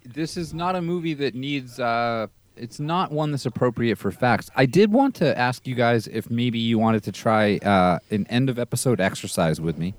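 A man's voice: medium pitch, lively intonation, moderate pace, hardly any pauses, no echo. A man co-hosting a podcast who talks in a hesitant, polite way with lots of fillers. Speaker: podcast co-host. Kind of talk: casual chat.

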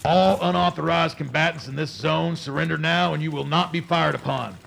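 A stern army colonel in a radio play. His voice is heavily gravelled and sits a little high for a man. He gives his words in a clipped, commanding military manner with an angry edge. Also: fairly even intonation, brisk, a bit bright, slight background noise. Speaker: voice actor.

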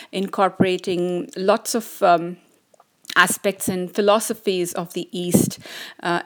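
A woman hosting a podcast, interviewing a guest politely with frequent hesitations and fillers over a remote call. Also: medium pitch. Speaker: podcast host.

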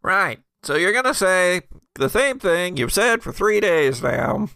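A man's voice: high-pitched, animated, unhurried, gravelly, sharp tone, no room sound, pitched above the performer's own voice, exaggerated voice. A man voicing a blustery, exasperated small-town sheriff's deputy. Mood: exasperated, needling.

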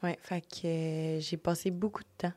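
A young Québécois woman in her twenties talks quickly and directly as a co-host. Her voice sits a touch low. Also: steady tone, darkish tone. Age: 20s. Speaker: co-host, university student. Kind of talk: casual interview chat.

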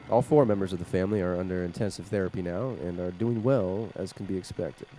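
A man playing a grave, measured doctor in a classic radio drama, formal and clinical. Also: low-pitched, mellow, slight background noise, natural voice, low-quality recording. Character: grave male psychologist doctor in a sci-fi radio play. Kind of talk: classic radio play acting.

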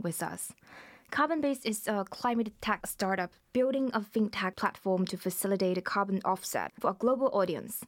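A woman's voice: mid-range voice, no echo, clean studio sound. A woman hosting a podcast interview, reading a scripted introduction and asking plain questions. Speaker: podcast host.

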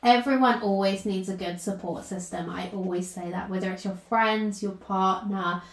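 A woman's voice: mid-range voice, steady tone, moderate pace. A woman with a British accent, from the north of England, hosting a casual podcast conversation in a friendly, encouraging way. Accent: British English. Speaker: podcast host, HR consultant. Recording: roomy echo.

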